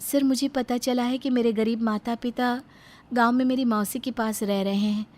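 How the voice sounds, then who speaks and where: A woman acts out an earnest young daughter-in-law in a storybook drama. Her voice is high, and she speaks politely, with the sincerity of someone who has been wronged. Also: steady tone, normal speed, darkish tone, natural voice. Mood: gentle, polite. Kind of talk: dramatised moral story acting.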